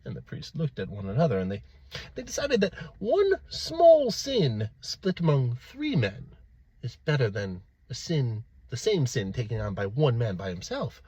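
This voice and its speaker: Animated husky male storyteller. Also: medium pitch, dry recording, rough recording. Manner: theatrical, dramatic pauses and swells. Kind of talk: animated oral folktale storytelling.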